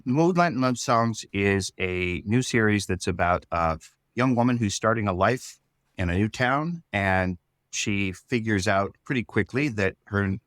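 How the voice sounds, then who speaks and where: A man's voice: fairly low pitch, a bit bright, no room sound, studio quality. A man speaking as an interview guest, explaining things thoughtfully and searching for his words in a conversational way. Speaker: series writer, interview guest.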